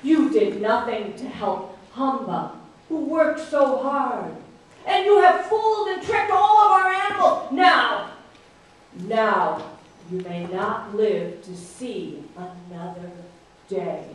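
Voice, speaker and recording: A woman's voice: fairly high pitch, expressive, very slow. A woman doing a stern lion king. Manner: solemn, commanding. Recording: echoey room, from a distance.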